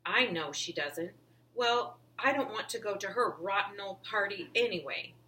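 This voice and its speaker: A woman's voice: mid-range voice, expressive, normal speed, pushed and bright, reverberant, recorded from a distance. A woman plays a sulky young schoolboy from a children's storybook, petulant and wounded. The recording carries faint background noise. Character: upset, sulky young schoolboy shouting his grievances.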